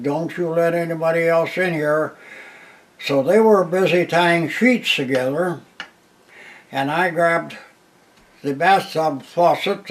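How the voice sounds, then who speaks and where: A man, a war veteran telling his story plainly with restarts and a loud voice. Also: a little high, low-quality recording. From Minnesota, USA. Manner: plain unhurried retelling with restarts. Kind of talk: oral history storytelling.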